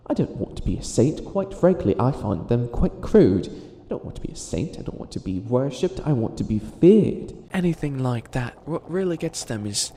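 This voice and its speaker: A man playing a centuries-old, boastful but bumbling evil witch in an ASMR comedy roleplay, speaking in a theatrical, halting, grandiose way. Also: mid-range voice, very animated, warm tone, roomy sound, a little hiss or hum. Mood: gleeful, scolding.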